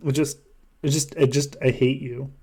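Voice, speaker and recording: A man's voice: mid-range voice, level tone, normal speed, warm tone, slightly rough. Giggly man hosting a casual podcast. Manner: giggly, sweary, laughs through sentences. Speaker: podcast host. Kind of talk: casual comedic recap with friends.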